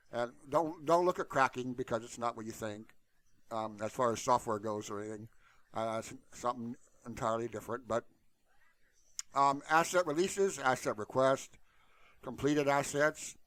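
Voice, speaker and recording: A man talks through a screen share in a casual, unscripted style. His delivery is blunt and colloquial, and he rambles as he goes. His mid-pitched voice is recorded close and dry. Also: normal speed, fairly bright tone, no room sound. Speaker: tutorial channel host.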